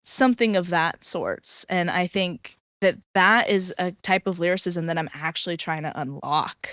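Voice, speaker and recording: A woman's voice: lowish voice, normal speed, a bit bright, clear, resonant tone, no room sound, clean studio sound. A woman who sings in a band, chatting with enthusiasm and candor in a casual interview. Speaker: band singer.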